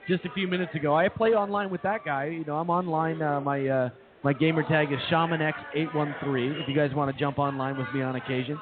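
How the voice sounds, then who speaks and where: A man's voice: on the high side, fairly even intonation, quick pace. A man co-hosting a comedy radio show in casual banter, talking in boastful hyperbole and repeating his phrases. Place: live show at a brewing venue. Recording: phone-like thin audio with crowd chatter. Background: crowd chatter.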